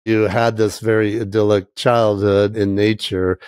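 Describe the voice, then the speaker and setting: A man hosting a podcast interview, asking measured, prepared questions. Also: fairly low pitch, little pitch movement, darkish tone, smooth, no echo, studio quality. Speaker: podcast host.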